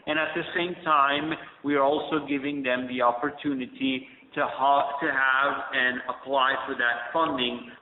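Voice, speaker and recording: A man answering analyst questions on an earnings call, brisk and fluent with fillers. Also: mid-range voice, level tone. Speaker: company executive. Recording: echoey tinny phone line with reverb.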